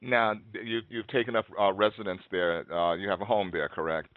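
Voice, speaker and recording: A man's voice: fairly low pitch, fairly even intonation, dry recording. Male interview host, tinny dry sound. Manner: friendly, explains for listeners, slow deliberate intros. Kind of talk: interview hosting.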